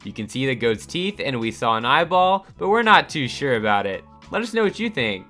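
A man reading a scripted countdown voice-over with upbeat delivery over soft background music. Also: mid-range voice, big pitch swings, a little fast. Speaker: narrator of a countdown channel.

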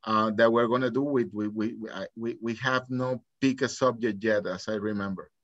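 A man with a Venezuelan accent talks haltingly as a webinar guest, stumbling over restarts. The call audio is dry and slightly dull. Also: lowish voice, steady tone, fairly bright tone, no room sound. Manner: hesitant, many restarts and fillers. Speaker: software company guest. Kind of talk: casual webinar talk.

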